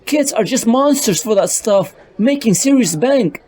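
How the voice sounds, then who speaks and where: A man's voice: very high voice, animated, measured pace, darkish tone, pitched above the performer's own voice, heavily acted. A man voicing a sleazy, boastful drug dealer in a comic-book drama. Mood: smug.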